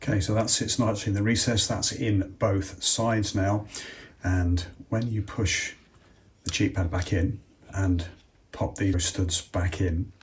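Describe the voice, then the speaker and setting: A man's voice: on the low side, fairly even intonation, unhurried. A British man presenting a casual step-by-step installation demonstration, talking informally and unhurriedly as if thinking aloud. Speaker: presenter of a product installation tutorial.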